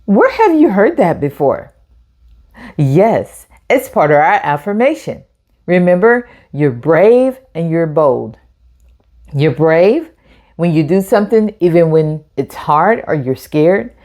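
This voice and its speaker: A woman hosts a read-aloud for children with a lively, swooping intonation. She takes her time, addressing young listeners directly in an encouraging way. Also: on the low side, slow. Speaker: storytime host.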